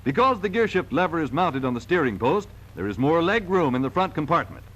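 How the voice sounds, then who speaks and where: Male 1940s industrial-film narrator: highish voice, very animated intonation, emphatic polished sales read, vintage soundtrack. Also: a little fast, dull audio.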